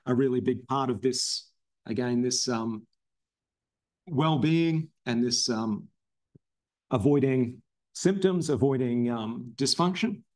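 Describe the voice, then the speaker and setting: A man presents at a university seminar at a mid-range pitch. He talks haltingly, stopping often on ums and restarting his sentences. His voice sounds slightly strained, as if he has lost it more than once recently. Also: darkish tone. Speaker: philosophy researcher presenting a seminar talk.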